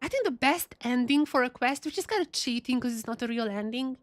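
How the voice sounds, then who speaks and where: High-voiced woman streaming a game. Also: lively intonation, fairly quick, dry recording. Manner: chatty, repeats phrases for emphasis. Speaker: live streamer reacting to a game. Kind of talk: casual live game reaction commentary.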